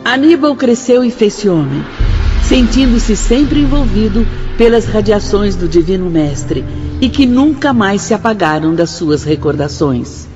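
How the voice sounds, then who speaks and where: Devout Brazilian actress as a spirit sister, music behind. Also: female voice, mid-range voice, expressive, close to an everyday voice. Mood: solemn, fervent. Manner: fervent, declamatory, measured. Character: devout, solemn spirit sister recounting martyrs' lives. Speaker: radio drama actor. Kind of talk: solemn radio drama narration. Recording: dull radio drama mix under loud music.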